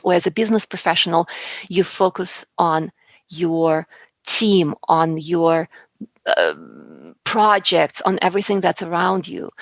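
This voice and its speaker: A woman's voice: lowish voice, gravelly, no room sound. A woman speaking as a public speaking coach and guest in a conversational interview, earnest and emphatic, often repeating words for stress. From outside the US.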